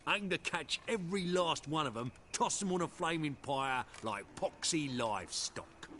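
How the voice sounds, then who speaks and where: Sneering, animated gate guard. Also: male voice, fairly high pitch, moderate pace, a little hiss or hum. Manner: sneering, rough colloquial speech. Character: bullying, bigoted male city gate guard.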